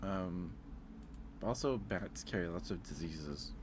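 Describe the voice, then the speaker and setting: A man's voice: sits low, animated, takes it slowly, noisy background. A man chatting casually on an ASMR livestream, rambling hesitantly and repeating his phrases, close to the microphone.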